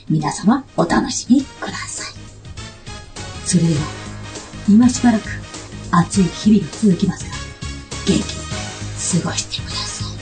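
Woman, radio host, very varied intonation, chatty, playful, expressive. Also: medium pitch.

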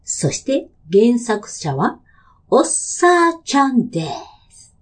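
A woman's voice: on the high side, big pitch swings, slow. A woman presenting a web radio show with scripted, polite and playful greetings and announcements.

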